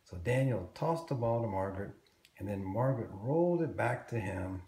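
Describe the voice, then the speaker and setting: A man reading a children's story aloud, expressively and deliberately, drawing out words for young listeners. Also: lowish voice, animated, warm tone, reverberant.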